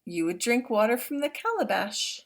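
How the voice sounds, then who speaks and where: A woman reading the lines of a loving father who gently reassures his son, from a picture book. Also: on the high side, sing-song, moderate pace, pitched above the performer's own voice. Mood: tender. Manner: tender, reassuring promises. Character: loving Maasai father, steady and protective. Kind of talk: read-aloud picture book.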